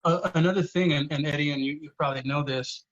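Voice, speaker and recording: A man's voice: on the high side, fast, highs cut off. A man, a poet guest on a podcast, telling family stories earnestly, swearing freely and saying "you know" a lot. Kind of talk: casual podcast conversation.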